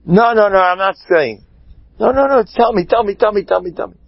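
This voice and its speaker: A man's voice: high voice, lively intonation, brisk, breathy edge, no echo, muffled recording, voice pushed up, heavily acted. A man telling a story in the voice of a wily rabbi who puts on indignation, exclaiming and repeating himself. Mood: coyly refusing. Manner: exclamatory, repetitive, feigned indignation. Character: wily rabbi, theatrically outraged, shouting at his helper.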